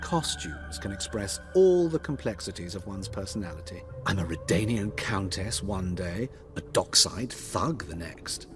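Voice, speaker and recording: An actor playing a flamboyant, witty cross-dressing tailor in a fantasy video game, with a theatrical, coy delivery and drawn-out phrasing. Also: male voice, low voice, animated, over music. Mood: wistful, playful. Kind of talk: video game dialogue acting.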